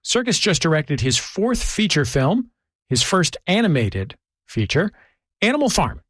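An American man hosting a radio interview, speaking in a measured, thoughtful way. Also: fairly high pitch, very animated, a bit bright, dry recording, studio quality. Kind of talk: conversational arts interview with scripted introduction.

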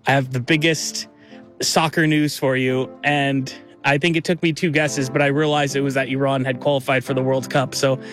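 A man's voice: mid-range voice, flat delivery, moderate pace, a bit bright, faint music behind. A man in his thirties recalling memories casually in an interview, with lots of fillers and restarts. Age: 30s. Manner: chatty, filler-heavy, with likes and restarts.

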